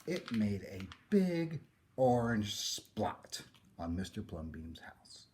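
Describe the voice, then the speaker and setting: A man reading a children's picture book aloud in a theatrical way, with dramatic pauses. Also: on the low side, big pitch swings, a little mellow. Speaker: story reader.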